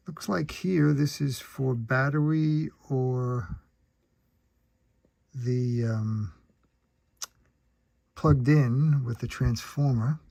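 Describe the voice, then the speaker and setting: A man narrating an unscripted how-to about a repair, speaking methodically and haltingly in short phrases with pauses between them. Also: medium pitch, gravelly, dark tone, no echo. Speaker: radio restorer and presenter.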